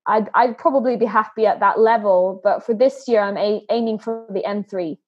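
A woman's voice: mid-range voice, moderate pace, lo-fi sound. A woman guest in a podcast interview, talking earnestly and often repeating words as she starts a thought. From Sweden and England. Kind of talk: conversational interview.

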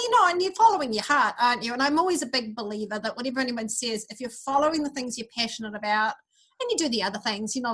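A woman's voice: fairly high pitch, a bit bright, rough recording. Chatty New Zealand woman in her fifties. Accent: New Zealand, softened mid-Pacific. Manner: chatty, upbeat storytelling. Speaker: guest, anthropology professor. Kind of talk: casual interview over a call. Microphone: remote online call.

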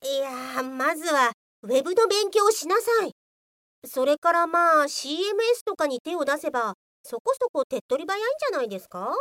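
A woman playing a blunt, scolding software policewoman in a comedic radio drama. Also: sits high, sing-song, fairly quick, no room sound, clean studio sound. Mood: skeptical scolding. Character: feisty Office police woman, scolding, exasperated straight-talker. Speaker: voice actor.

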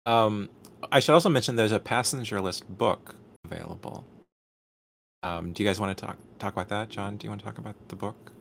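Male moderator, gravelly low voice, informal and tentative, faint background noise. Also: brisk. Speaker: host, moderator. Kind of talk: casual, unscripted moderating. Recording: noisy room ambience.

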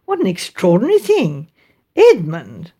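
A woman's voice: lowish voice, huge pitch swings, unhurried, warm tone, breathy edge, full character voice. A woman voicing a chatty, strong-willed middle-aged English mother in a village, with decided, exclaiming emphasis. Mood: astonished.

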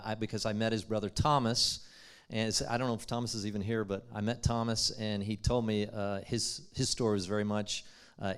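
A man's voice: lowish voice, clear, resonant tone. A man hosting a casual interview, upbeat and chatty as he rambles into his question. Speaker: host.

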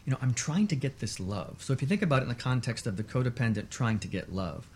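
A man speaking as an expert guest in a radio interview, explaining in a measured way with short repeated lists. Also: medium pitch, very animated, slight background noise.

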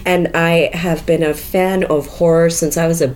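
Pakistani-born woman, low voice. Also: steady tone, normal speed, a little mellow. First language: Urdu. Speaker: guest. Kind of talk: casual podcast chat.

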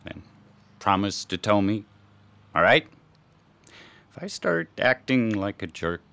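Irritable professor husband, acted by a man, expressive, dry sound. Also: on the low side, slow pace, creaky, no echo, full character voice. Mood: tender, conciliatory. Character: irritable, defensive middle-aged husband and physics professor. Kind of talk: radio drama acting.

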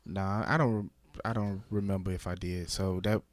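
A man's voice: sits low, steady tone, normal speed, no echo. A man speaking in a laid-back, blunt way in a casual podcast.

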